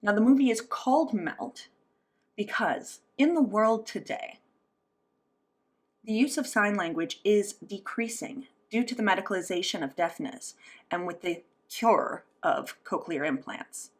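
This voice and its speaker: A woman's voice: mid-range voice, lively intonation, a little slow. Woman voicing an interpreted interview. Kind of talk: spoken interpretation of a signed interview.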